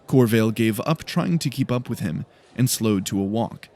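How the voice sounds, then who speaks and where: A man's voice: lowish voice, expressive, moderate pace, a little mellow. A man reading scripted story narration as the narrator of an audiobook.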